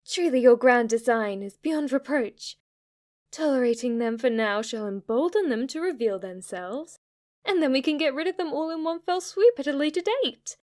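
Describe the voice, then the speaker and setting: A woman's voice: high voice, animated, moderate pace, darkish tone. A woman playing a devoted, submissive demon maid in an ASMR roleplay, with a formal, deferential and adoring manner. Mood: rapturous worship. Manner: formal, deferential, breathless adoration, sometimes whispering. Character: devoted, submissive, obsessively worshipful female demon maid servant.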